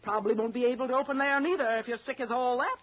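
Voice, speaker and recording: An actress playing a sharp-tongued, suspicious middle-aged mother aboard a showboat in a 1930s radio drama, scolding and bossy in a folksy American way. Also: on the high side, rapid-fire, natural voice. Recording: muffled, noisy 1930s radio broadcast recording.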